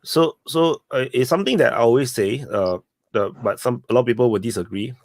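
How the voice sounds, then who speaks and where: A man's voice: mid-range voice, brisk, no echo. A man hosts a casual live open-mic discussion. His English has non-native phrasing and loose, conversational grammar. The sound is dry and close to the microphone.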